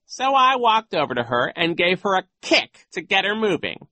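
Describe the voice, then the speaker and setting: Woman doing a sly, high-pitched villainous gym coach man. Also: very animated, bright, forceful tone, no room sound. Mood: gleefully cruel. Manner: drawn-out, theatrical storytelling. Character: sly villainous male gym coach, falsely regretful, smugly cruel.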